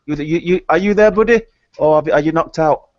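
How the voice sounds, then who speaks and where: A man taking part as a guest in a casual online discussion, talking in a halting, stammering, musing way. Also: a little high, darkish tone, no room sound. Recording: dry, dull lo-fi call audio.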